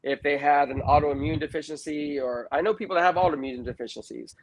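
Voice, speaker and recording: A man's voice: on the high side, fast. A man in his early fifties talking casually and informally as a candidate in an interview, with emphatic repetition. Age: early 50s. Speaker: school board candidate being interviewed. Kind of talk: casual, unscripted interview talk. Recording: clearly noisy recording with clicks.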